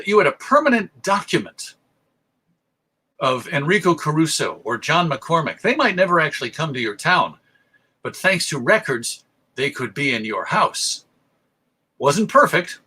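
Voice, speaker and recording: Bright, higher-pitched American man presenting. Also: husky. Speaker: presenter, radio show host. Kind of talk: informal public lecture. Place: remote online presentation.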